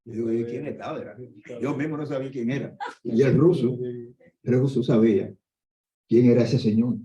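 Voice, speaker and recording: An older man, an orthopedic doctor giving a conference lecture in a lively storytelling way. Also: medium pitch, moderate pace. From Dominican Republic. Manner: conversational, animated storytelling with asides. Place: conference hall. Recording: echoey hall, dull lo-fi sound.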